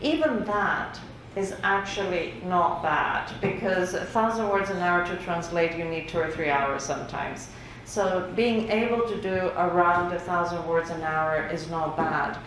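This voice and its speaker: Lower-voiced woman lecturing in an echoey room. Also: unhurried, few pauses, fairly bright tone. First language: Greek. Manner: conversational, many fillers, laughs lightly. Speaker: workshop lecturer. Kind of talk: lecture presenting survey results. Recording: very echoey room with faint noise.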